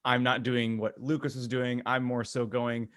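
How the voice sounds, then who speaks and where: A man's voice: medium pitch, little pitch movement, moderate pace, fairly bright tone. A man co-hosting a podcast, chatting casually and telling stories in a jokey way with laughter in his talk. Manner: jokey, laughs while talking. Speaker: podcast co-host. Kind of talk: casual group chat, retelling exchanges.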